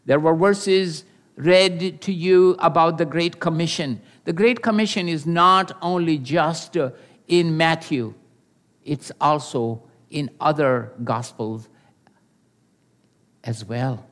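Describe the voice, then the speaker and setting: Older man preaching slowly. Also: a little high, fairly bright tone. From Pakistan. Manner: earnest and measured, with pauses and hesitations. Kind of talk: sermon with personal testimony.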